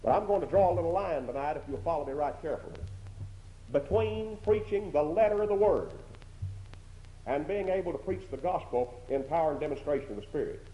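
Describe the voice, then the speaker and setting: A man's voice: on the high side, lively intonation, a little fast, warm tone. A man with a strong voice preaching a sermon in a church, emphatic, repeating phrases and asking rhetorical questions. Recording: dull lo-fi recording in an echoey hall.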